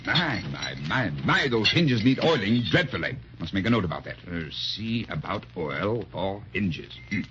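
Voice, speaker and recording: An actor playing a fussy, pompous, easily flustered royal official who repeats himself, in 1930s radio style. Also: male voice, on the low side, big pitch swings, moderate pace, few pauses. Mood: muttering, officious. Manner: fussy, repeats words in a flutter, announces grandly. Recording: muffled, noisy 1930s radio transcription.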